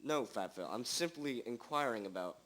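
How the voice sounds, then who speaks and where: A man's voice: lively intonation, moderate pace, fairly bright tone, natural voice. A young-sounding amateur actor, a man playing an impatient, self-important private detective with formal, theatrical delivery in a radio drama. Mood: pressing. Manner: formal, theatrical interrogating, condescending to sidekick. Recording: low-quality amateur recording.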